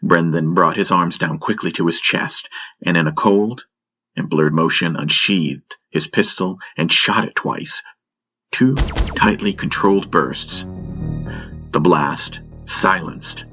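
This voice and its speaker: A man's voice: low-pitched, slow pace, sharp tone, breathy edge. A man reading a dramatic scripted sci-fi audiobook narration, with music playing underneath.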